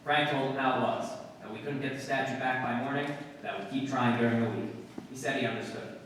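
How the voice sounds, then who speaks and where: A man's voice: mid-range voice, steady tone, fairly quick, fairly bright tone, slight background noise, lightly acted. A man playing a terse police detective, narrating in clipped, understated 1950s radio drama style. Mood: terse, deadpan. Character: terse, matter-of-fact male police detective narrating a case. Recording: echoey hall from far off, music behind. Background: music.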